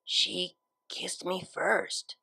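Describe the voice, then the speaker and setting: A man's voice: sits high, level tone, extremely slow, vocal fry, bright, forceful tone, voice pushed up, full character voice, low-quality recording. A man voicing a young female unicorn DJ pony in a story, flustered, self-doubting and lovestruck, with hesitant, stammering delivery. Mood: anxious, brooding.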